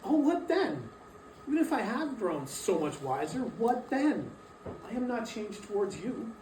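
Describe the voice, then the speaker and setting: A woman playing a troubled, defensive male miser in a dramatic reading, with earnest, halting delivery. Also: fairly high pitch, big pitch swings, warm tone. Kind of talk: dramatic reading of a Victorian story. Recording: roomy, distant mic, faint noise.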